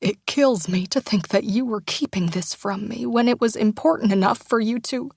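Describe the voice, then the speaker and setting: A woman voicing a tender small-town mother who speaks in a soft, often breathy near-whisper, pleading and heartfelt. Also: on the high side, normal speed, no room sound. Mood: tearful. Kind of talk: audiobook character dialogue.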